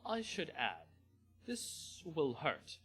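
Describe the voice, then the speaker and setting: A man's voice: fairly high pitch, wildly swooping pitch, very slow, fairly bright tone, husky, soft background music, pitched above the performer's own voice, exaggerated voice. A man voicing a fussy, formal mage scientist in a storybook reading, technical and stiffly polite. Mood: affronted, cautioning. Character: fussy, formal male ghost-mage scientist, easily flustered.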